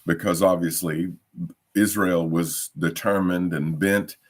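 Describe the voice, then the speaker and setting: A man teaches a midweek Bible study in a deep, unhurried voice. His throat is a little croaky from a lingering cough, and he hesitates often, filling gaps with ums and starting phrases over. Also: steady tone. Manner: hesitant, many ums and restarts.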